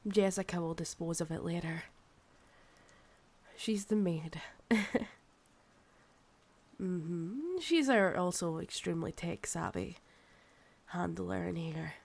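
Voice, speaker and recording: A woman's voice: fairly low pitch, lively intonation, creaky, darkish tone, heavily acted. A woman with a Scottish accent playing a possessive, proud, seductive ancient vampire in an intimate ASMR roleplay, teasing and condescending. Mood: haughty, explaining. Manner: slow, teasing, condescending, calls listener darling.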